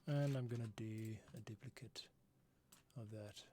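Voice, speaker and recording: A man composing on a livestream, muttering casual, halting commentary to himself as he works. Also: lowish voice, fairly even intonation. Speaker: music composer livestreaming his work. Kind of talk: casual thinking-aloud work commentary. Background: instrumental music playback.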